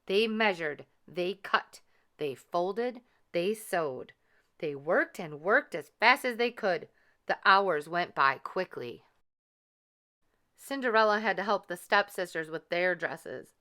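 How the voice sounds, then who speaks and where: A woman reading a children's storybook aloud with careful, expressive narration. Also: on the low side, takes it slowly. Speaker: storybook reader.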